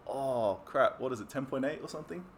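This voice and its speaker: A man's voice: mid-range voice, a little fast. A man, chatting easily as an interview guest and coach, with frequent ums. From New Zealand. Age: 20s. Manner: easygoing, many ums, relaxed laughs. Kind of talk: casual interview chat.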